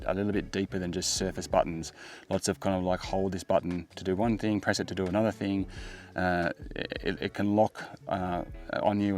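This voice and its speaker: Low-voiced Australian man reviewing gear. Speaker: tech vlogger, product reviewer. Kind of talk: casual product review to camera.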